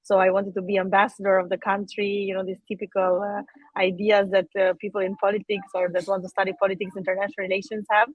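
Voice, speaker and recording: A woman, telling her career story as an interview guest in a measured way, with frequent filler sounds. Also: medium pitch, level tone, dry recording, phone-quality sound. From Albania. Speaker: guest speaker.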